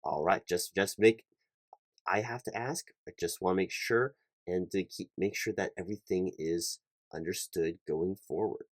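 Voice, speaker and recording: A man playing a polite, fussy, slightly flustered hiring manager in a one-sided ASMR job interview roleplay, speaking formally and haltingly and often repeating himself. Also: sits low, dry recording. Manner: halting, repetitive, over-careful, formal.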